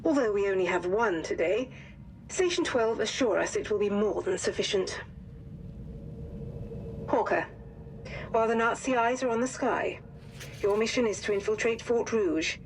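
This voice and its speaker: An actress playing a resolute female wartime intelligence officer, delivering a formal, measured mission briefing in a video game. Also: medium pitch, natural voice, poor audio. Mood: composed, serious. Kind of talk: scripted mission briefing narration. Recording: faint background noise.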